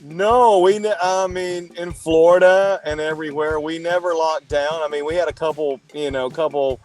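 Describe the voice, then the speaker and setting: Laid-back guy co-hosting, kinda high voice, cracks jokes. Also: fairly even intonation, normal speed, faint music behind. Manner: easygoing, joking asides. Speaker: podcast co-host. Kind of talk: casual interview chat. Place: United States.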